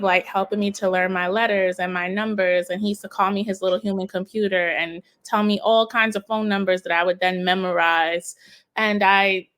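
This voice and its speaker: A woman, a guest educator, telling her story in an interview in a reflective, searching way, often pausing and restarting phrases. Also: mid-range voice, fairly bright tone. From United States, of Haitian parents.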